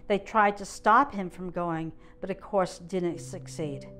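A woman's voice: on the low side, a little slow, fairly bright tone, soft background music. An older woman, around her seventies, reading a written family memoir aloud indoors into a close mic. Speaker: guest reader. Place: indoor gallery room.

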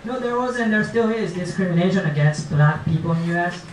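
A man's voice: sits high, expressive, fairly quick, a crowd in the background. Echoey young Japanese man doing stand-up. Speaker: stand-up comedian. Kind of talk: stand-up comedy routine. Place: small comedy club stage, indoors. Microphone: handheld mic, picked up by far camera. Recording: echoey club, distant, audience noise. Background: audience laughter.

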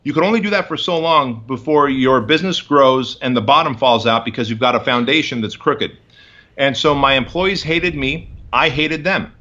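A man's voice: medium pitch, moderate pace, pushed and bright. A man in his forties, a guest entrepreneur, telling his story in an interview with an emphatic, punchy motivational delivery over a video call from his office. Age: 40s. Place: office with bookshelves, indoors. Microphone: video call webcam. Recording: slightly dull video call audio.

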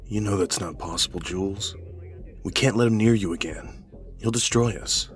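A man's voice: lowish voice, normal speed, soft background music, close to an everyday voice. Curt, overprotective young husband acted by a man. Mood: protective. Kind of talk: horror audio drama acting.

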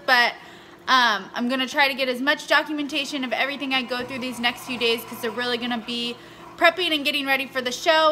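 Bright, high-pitched young woman vlogger. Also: slight background noise. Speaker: fitness vlogger. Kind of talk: casual chat to camera. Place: indoors at home, kitchen and bedroom. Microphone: camera or phone a short distance away. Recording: roomy echo of home interior.

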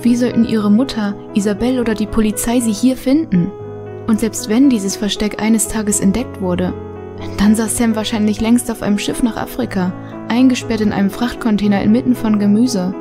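A woman's voice: medium pitch, fairly even intonation, normal speed, over music, natural voice. A woman narrating a children's mystery audio drama in a scripted, suspenseful storytelling manner. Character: female storyteller of a children's mystery radio play.

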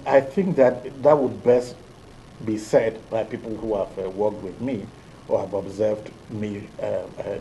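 A middle-aged Nigerian man, an electoral official, answering interview questions in a TV studio in a deliberate, hesitant way with many fillers. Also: on the low side, warm tone, a little hiss or hum, low-quality recording. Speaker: electoral commission official, guest.

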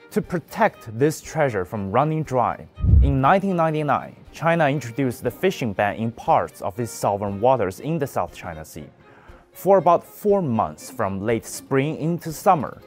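A man's voice: mid-range voice, a little mellow. A young man presenting a scripted news commentary with an emphatic, pointed delivery.

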